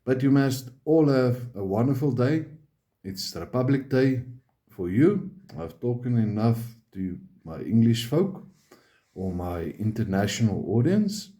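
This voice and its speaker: A South African man with an Afrikaans accent, a native Afrikaans speaker, talking straight to his viewers in a halting, emphatic monologue that repeats words. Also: medium pitch, lively intonation, warm tone. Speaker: vlogger.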